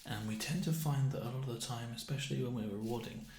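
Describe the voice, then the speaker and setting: A man doing a soft-spoken ASMR roleplay, slipping into whispers, with an awkward, apologetic, deadpan manner. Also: mid-range voice, quick pace, roomy sound, background noise. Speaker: ASMR roleplay performer, playing a festivity assessor. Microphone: binaural ASMR microphone up close.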